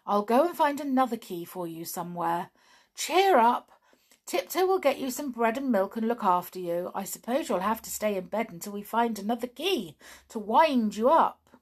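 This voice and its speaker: A woman playing a brisk, kind toy friend. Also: on the high side, lively intonation, voice pushed up. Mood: reassuring.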